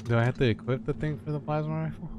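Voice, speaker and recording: A man's voice: fairly even intonation, racing. A man chatting casually as he plays a video game in a let's-play. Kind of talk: casual chat while gaming. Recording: game music under the voices. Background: video game music and rumble.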